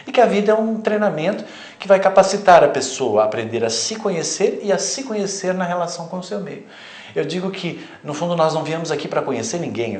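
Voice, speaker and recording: A man's voice: fairly high pitch, reverberant. A Brazilian man, a therapist, explaining his spiritual ideas in an interview, reflective and often adding "né". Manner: reflective, explanatory, frequent "né" tags. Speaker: therapist, guest.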